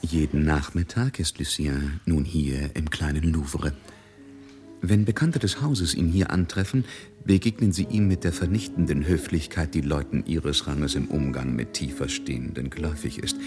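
A man acting as the wry, observant narrator of a literary radio drama, speaking in a measured, polished way. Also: very deep voice, over music. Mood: dry irony. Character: wry, observant male narrator of a society novel.

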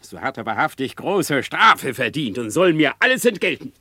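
An actor playing a sly, scheming male fox in a radio play, smooth and mocking with chuckles. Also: medium pitch, sing-song, moderate pace, bright, forceful tone, dry recording, clean studio sound. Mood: gloating. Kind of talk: classic radio play acting.

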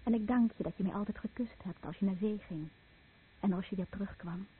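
An actress playing a devoted fisherman's wife in a radio play, husky, solemn and tender. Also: mid-range voice, brisk, a little hiss or hum, natural voice. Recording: phone-like old radio recording.

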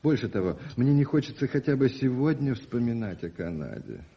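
An actor playing a genial, sentimental middle-aged gentleman back from abroad in a radio play, theatrical and courteous. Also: medium pitch, sing-song, a little fast, gravelly, husky, heavily acted. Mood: sentimental, warm. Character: genial middle-aged expatriate uncle, sentimental about home. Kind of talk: 1980s Soviet radio play acting. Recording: muffled 1980s radio drama recording.

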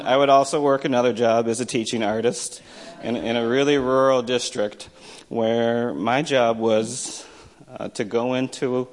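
An American man telling a personal story to listeners in a wry, self-deprecating manner. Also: mid-range voice. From small town in the United States. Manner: wry, self-deprecating, acts out remembered dialogue. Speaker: storyteller, poet and teacher.

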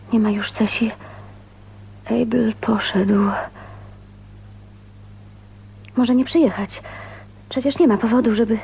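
A woman's voice: mid-range voice, darkish tone, highs cut off, slight background noise, close to an everyday voice. An actress in a radio play voicing a shy but determined, well-bred young woman, polite, hesitant and sincere. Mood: anxious waiting.

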